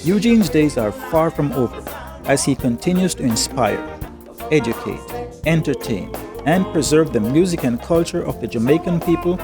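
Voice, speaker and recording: A male narrator reads a scripted documentary voice-over at an even, upbeat pace. His voice sits a little high. Clear music plays under him. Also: normal speed.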